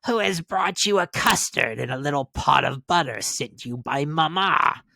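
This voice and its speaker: A man voicing a deceitful fairy-tale wolf who imitates a soft feminine voice, falsely sweet and drawn out. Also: mid-range voice, expressive, moderate pace, creaky, no room sound, pitched above the performer's own voice.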